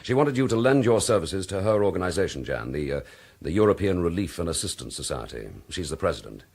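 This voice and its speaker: An actor playing a determined, dryly courteous British police detective in a radio drama, husky and clipped. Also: male voice, sits low, very animated, quick pace, darkish tone, full character voice. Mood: dry, wry.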